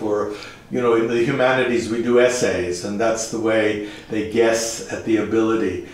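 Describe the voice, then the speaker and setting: A man's voice: medium pitch, a little mellow. Distant-sounding male interviewer. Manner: curious, probing questions. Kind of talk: interview questions. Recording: roomy, from off-mic distance.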